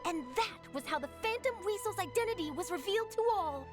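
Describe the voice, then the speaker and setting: A woman's voice: extremely high pitch, animated, brisk. A woman playing an eager young female newspaper journalist in a video game, telling things theatrically, with music under her voice. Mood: eager storytelling. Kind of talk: animated game voice acting.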